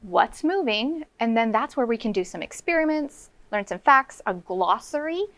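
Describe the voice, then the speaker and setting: A woman's voice: fairly high pitch, animated, normal speed, a bit bright, dry recording. An American woman teaching young children, explaining simply in a read-aloud lesson as a kindergarten co-host.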